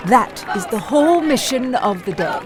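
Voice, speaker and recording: A woman's voice: fairly high pitch, animated, moderate pace, close to an everyday voice. A woman playing an elderly, spirited suffragist in a children's audio drama, with genteel old-fashioned phrasing and an earnest manner. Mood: firm, kindly. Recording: music and outdoor crowd behind.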